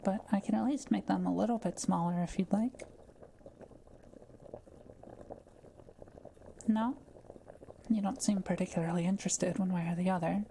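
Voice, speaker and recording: A woman playing a kind, curious forest witch in an intimate audio roleplay, speaking gently and thoughtfully with polite, measured phrasing. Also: mid-range voice, gravelly, slight background noise, close to an everyday voice. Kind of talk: intimate scripted audio roleplay addressed to the listener.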